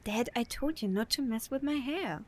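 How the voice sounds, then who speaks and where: A woman's voice: on the high side, expressive, moderate pace, close to an everyday voice. Woman playing a pondering teenage daughter, noisy recording. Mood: grumpy. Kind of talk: audio drama acting.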